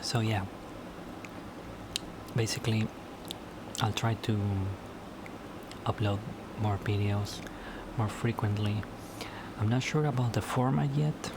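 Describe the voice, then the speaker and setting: A slow, low-voiced man whose native tongue is Spanish, chatting alone to his camera at home late at night. He talks haltingly, restarting and repeating words as he goes. A clear hiss of background noise sits under the recording. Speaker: YouTuber. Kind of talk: casual solo vlog talk.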